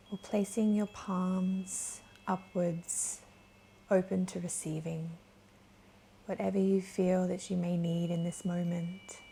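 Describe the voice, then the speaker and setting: A woman leading a guided meditation in a gentle, soothing, soft voice that is breathy at times. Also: on the low side, slight background noise. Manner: gentle, soothing, unhurried with long pauses. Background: soft chimes.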